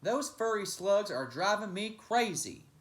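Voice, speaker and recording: Man acting out gruff storybook grouch, growling, grumbling, lively raised pitch, roomy echo. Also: unhurried.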